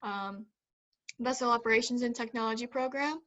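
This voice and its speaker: A young woman from South Carolina, a college student, speaking steadily with pauses. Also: fairly high pitch, a bit bright, muffled recording. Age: young adult. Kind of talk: casual chat.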